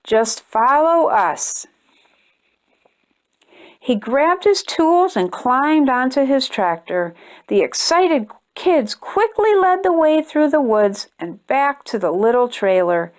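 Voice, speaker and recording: A woman reading a children's storybook aloud with expressive, deliberate delivery. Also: high-pitched. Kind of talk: read-aloud storybook narration for young children.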